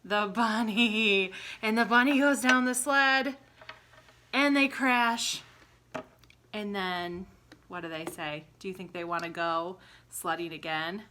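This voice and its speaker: Bright-voiced, unhurried woman teaching young children. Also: mid-range voice, a little hiss or hum. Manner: simple child-directed talk with frequent questions to the listener; animated, acting out exclamations and sounds. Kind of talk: children's story retelling with props.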